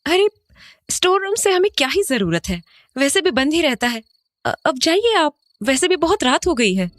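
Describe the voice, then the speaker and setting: An uneasy young mother played by a woman in a horror audio drama, high-voiced and very animated. Her delivery is questioning and expressive, recorded dry and close. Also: moderate pace, dry recording. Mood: dismissive. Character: uneasy young mother back in childhood home.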